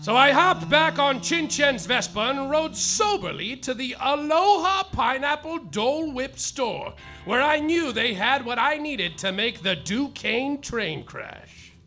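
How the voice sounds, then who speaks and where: A melodramatic noir detective. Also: male voice, very high-pitched, very animated, a little slow, hardly any pauses, bright, forceful tone, soft background music, exaggerated voice. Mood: mopey. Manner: melodramatic noir narration, overwrought. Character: hapless hard-boiled male private detective. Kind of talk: live comedy radio play. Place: theater stage, live.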